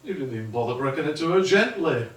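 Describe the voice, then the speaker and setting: A sardonic English husband, echoey stage. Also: male voice, on the high side, very animated, fast. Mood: accusing. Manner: sardonic, accusing. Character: bitter, sarcastic husband from the past, working-class. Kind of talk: theatrical stage dialogue. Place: theatre stage, echoing. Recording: echoey theatre stage, distant microphone.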